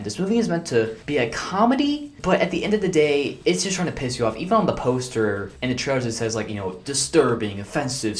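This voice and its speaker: Fast-talking ranting male movie reviewer. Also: mid-range voice. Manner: exasperated, ranting, fast and chatty. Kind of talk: casual ranking commentary.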